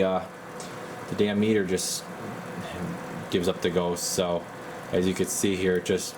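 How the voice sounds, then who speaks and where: Casting: male repair tech, deep voice, informal and rambling, recorded over clear background noise. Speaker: radio repair technician and video host. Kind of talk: casual hands-on repair walkthrough. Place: indoor repair workbench. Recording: noisy room with clear background hum.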